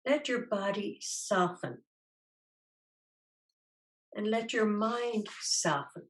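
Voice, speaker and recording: Slow-speaking woman guiding a meditation. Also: medium pitch, lively intonation. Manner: soothing, unhurried, gently instructing with long pauses. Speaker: meditation teacher. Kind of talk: guided meditation.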